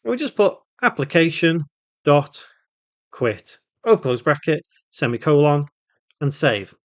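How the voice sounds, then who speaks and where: A man hosting an informal step-by-step software tutorial, thinking aloud as he works through it. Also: a little high, sing-song, measured pace, no echo. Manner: thinks aloud while working, spelling out code symbol by symbol. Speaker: tutorial host, game development instructor.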